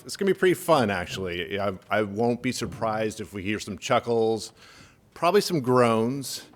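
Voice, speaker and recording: A middle-aged man, a lawyer, giving a friendly, self-deprecating workshop talk with a microphone in a church hall. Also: on the low side. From Northern California. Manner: self-deprecating, chatty, with fillers. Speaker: estate planning lawyer, panelist. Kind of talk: workshop presentation. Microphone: handheld microphone.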